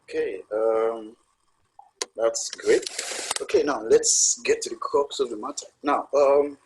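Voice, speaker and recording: A man's voice: medium pitch, slow, darkish tone, a little hiss or hum, rough recording. A man moderating a panel discussion, asking long rambling questions full of fillers. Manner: rambling, lots of "you know".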